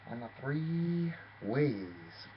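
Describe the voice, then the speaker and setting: A man's voice: medium pitch, very animated, extremely slow. A man telling a rambling, theatrical story, addressing the listener as "lad". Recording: dull lo-fi with faint noise.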